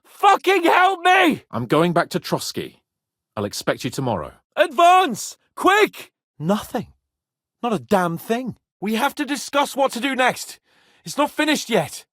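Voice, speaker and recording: A man's voice: a little high, fairly bright tone, dry recording, clean studio sound, full character voice. An actor voicing a cocky, hot-tempered young medieval nobleman in a video game, with a swaggering, theatrical, haughty delivery. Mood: urgent battle shouting, then tense, irritated. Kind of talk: dramatic medieval game dialogue.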